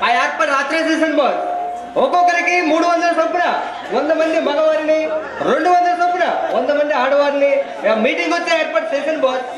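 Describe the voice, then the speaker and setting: Man acting a high-pitched, cheeky village henchman. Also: steady tone, fast talker. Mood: eager, pestering. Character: cheeky talkative village henchman, needling his bosses. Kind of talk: Telugu stage play acting. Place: stage at a village drama show. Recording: echoey stage PA with loud music, crowd.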